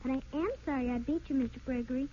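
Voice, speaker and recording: A woman's voice: high-pitched, rapid-fire, mellow, husky. A woman playing a sweet, earnest young girl in an old-time radio drama, polite and wide-eyed. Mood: happy, grateful. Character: sweet, earnest young orphan girl, tender big sister. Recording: dull, noisy old radio recording.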